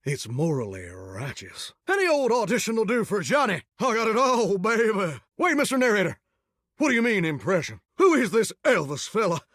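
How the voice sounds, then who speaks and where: An actor playing a shower come to life as a 1950s rock-and-roll crooner, talking in greaser slang with a drawling croon. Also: male voice, sits high, very animated, normal speed, a bit bright, no room sound, studio quality, heavily acted. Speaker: game character. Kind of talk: video game voice acting.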